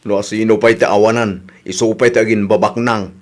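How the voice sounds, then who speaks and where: Rural Ilocano husband, plain-spoken food lover, lower voice, comedy radio drama, played by a man. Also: fairly even intonation, brisk, natural voice. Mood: questioning.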